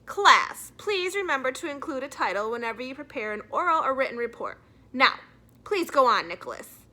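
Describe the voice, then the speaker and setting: A woman's voice: high-pitched, big pitch swings, moderate pace, bright, forceful tone, full character voice. A woman voicing a prim, strict but encouraging woman schoolteacher in a children's storybook, with formal, firm classroom address.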